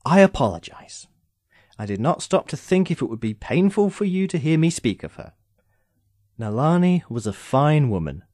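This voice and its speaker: A man's voice: a little high, big pitch swings, normal speed, a little mellow, dry recording. A man playing an urbane immortal sorcerer in an audio drama, speaking formally with dry, sardonic impatience. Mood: gently apologetic. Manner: wry, formal, theatrical phrasing.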